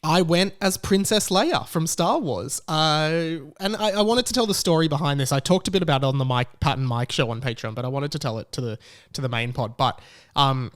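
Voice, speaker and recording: An Australian man hosting a podcast, telling a personal story in a casual, chatty and animated way. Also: fairly high pitch, a little fast. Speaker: podcast host.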